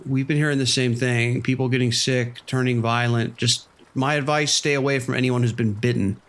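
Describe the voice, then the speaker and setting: An actor playing a male vice detective, steady and questioning under pressure. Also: mid-range voice, normal speed, close to an everyday voice. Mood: calm. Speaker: police detective.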